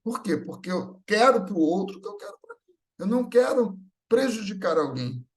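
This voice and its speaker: A Brazilian man with a fairly high voice speaks at a religious gathering. He talks informally and conversationally, illustrating his points with everyday anecdotes, voicing the people in them and often adding short tag questions. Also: sing-song, normal speed. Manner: acts out everyday dialogue in anecdotes.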